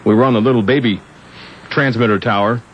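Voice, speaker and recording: A man's voice: mid-range voice, fairly even intonation, fairly quick. A man with an American accent hosting a morning zoo radio show with chatty banter. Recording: muffled, noisy old radio aircheck tape.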